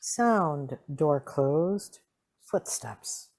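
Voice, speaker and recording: Very slow woman reading cues. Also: low voice, animated, darkish tone, dry recording, studio quality. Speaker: reader of sound cues. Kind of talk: terse scripted cue reading.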